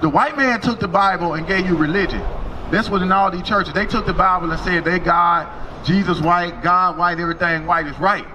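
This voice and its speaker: A man's voice: high-pitched, brisk, background noise. A man street preaching to passersby outdoors, conversational and drawn out for emphasis. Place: outdoors on a city street with traffic.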